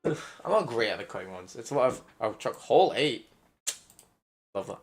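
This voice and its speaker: A man's voice: medium pitch, very animated, brisk, faint music behind. A man playing a puzzle game and commenting, half muttering as he reads the puzzle text aloud. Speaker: game player. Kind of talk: let's play commentary.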